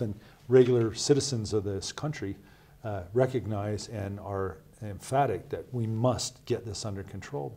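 Wyoming guy in his 50s, low mellow voice, earnest and emphatic, studio interview on a lapel mic. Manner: earnest, measured, emphatic with rhetorical "right?" asides. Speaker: Senate candidate. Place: small TV studio.

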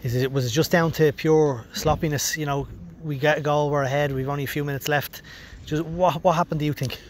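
A young man puts post-match questions as a club interviewer. He speaks briskly in a flat, even pitch. He is recorded outdoors on a handheld recorder, with faint background noise. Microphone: handheld recorder at arm's length.

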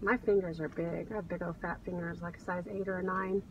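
A woman's voice: on the low side, level tone, a little fast, dull audio. A middle-aged American woman vlogging casually from inside a car, chatting to camera in a gushing, enthusiastic way. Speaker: reseller vlogger. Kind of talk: casual chat to camera, showing thrift finds. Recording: car cabin, distant mic, background noise.

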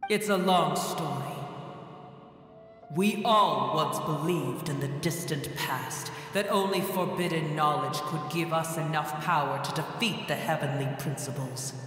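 An actor voicing an ancient, proud dragon in a video game, speaking in solemn, measured, imperious lines through cavernous reverb. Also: male voice, fairly high pitch, a bit bright. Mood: grave, narrating. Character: ancient, proud, weary dragon, scornful of mortals. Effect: long cavernous reverb. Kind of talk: scripted video game dialogue. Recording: very echoey cavernous reverb, music underneath.

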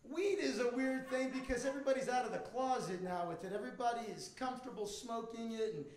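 A man's voice: very high voice, fast, a little mellow, slight background noise. A middle-aged American man performing a stand-up comedy routine on a club stage into a handheld microphone. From Miami, Florida, United States. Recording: echoey comedy club with audience. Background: live audience.

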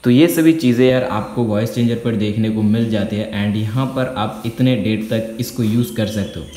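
A man's voice: fairly low pitch, normal speed, warm tone. A young man giving a casual, conversational tech tutorial, explaining step by step. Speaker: tech video host. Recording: echoey room with faint music. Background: faint music.

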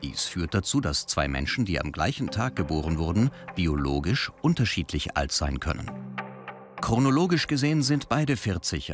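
A man reading scripted voice-over narration for a science report in a measured, explanatory way. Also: low voice. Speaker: documentary narrator. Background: music bed.

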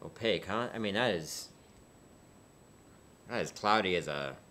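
A man in his thirties chats casually at a tasting table, thinking out loud with plenty of fillers and restarts. His pitch rises and falls a lot as he talks, and he sounds easygoing and unhurried. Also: slight background noise. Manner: relaxed, musing, many fillers and restarts. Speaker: beer reviewer co-host. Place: small indoor studio set with dark curtain.

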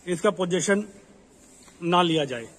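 A man giving a slow, explanatory walkthrough tour of an unfinished commercial building, pointing out each floor. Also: high-pitched, expressive. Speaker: property dealer giving a site tour. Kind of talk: walkthrough property tour narration. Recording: echoey, roomy building with ambient noise.

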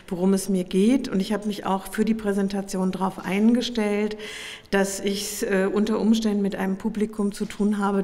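A middle-aged woman delivers a public academic lecture in a formal, explanatory manner. Her voice sits at a medium pitch and is a little hoarse with a cold. She speaks into a podium microphone in a hall with long reverb. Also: darkish tone. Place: indoor lecture room at a podium.

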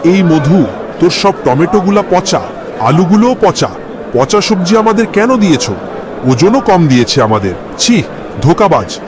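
Man voicing a high-pitched angry cheated customer. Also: sing-song, measured pace. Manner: scolding, indignant. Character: angry cheated male village customer, loud and accusing. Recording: noisy street crowd behind voice.

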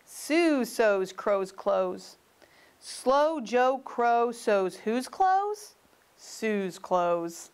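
A woman reads a rhyming picture book aloud for children. Her voice is fairly high and very animated, and she takes the words at a slow pace. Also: clear, resonant tone. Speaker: story reader.

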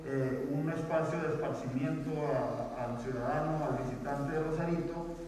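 A man's voice: medium pitch, moderate pace, darkish tone, slight background noise. A Mexican man answering as an event official at a press conference, often ending phrases with tag questions. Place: indoor hall, panel table. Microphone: far camera. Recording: echoey hall from far off, crowd murmur.

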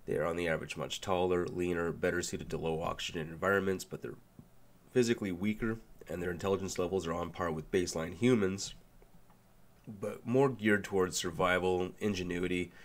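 Male host, deep and gravelly, nasal from a cold, relaxed and a bit rambling. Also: fairly quick. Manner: relaxed, loose with fillers and restarts. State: nasal from a sinus flu. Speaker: video essay host. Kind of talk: casual explainer narration.

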